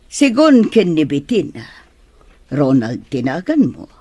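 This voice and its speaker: A woman's voice: low-pitched, wildly swooping pitch, slow pace, darkish tone, breathy edge, exaggerated voice. A woman acting a probing, well-off middle-aged mother in a radio-style drama. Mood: formal welcome.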